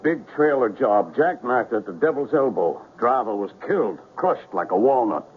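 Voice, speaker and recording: An actor playing a matter-of-fact small-town police officer in a 1970s radio drama, talking in blunt, clipped phrases. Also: male voice, mid-range voice, lively intonation, normal speed, slightly rough. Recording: faintly noisy, phone-like old radio recording.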